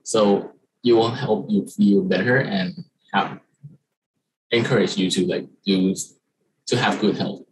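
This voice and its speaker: Low-voiced Thai student presenting. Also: male voice, steady tone, a little hiss or hum, poor audio. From Thailand. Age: young adult. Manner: halting, many fillers and restarts. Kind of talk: slide presentation on a video call. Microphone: video call webcam.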